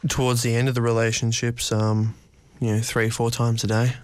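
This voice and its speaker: A man's voice: medium pitch, completely flat delivery, normal speed, clean tone, no room sound. Hesitant young man, very dry sound. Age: 20s. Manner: hesitant, reflective. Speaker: interviewee. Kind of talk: personal interview.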